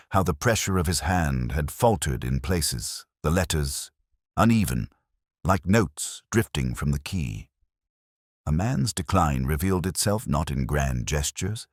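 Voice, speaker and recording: Male narrator, extremely deep pitch, expressive intonation, measured, solemn, reflective, first-person detective fiction. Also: no room sound, studio quality. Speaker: audiobook-style narrator.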